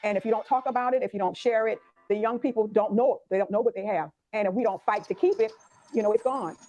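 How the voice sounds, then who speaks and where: A woman's voice: mid-range voice, fast, dark tone. A woman speaking earnestly as a community member in a news interview. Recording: dull lo-fi interview clip.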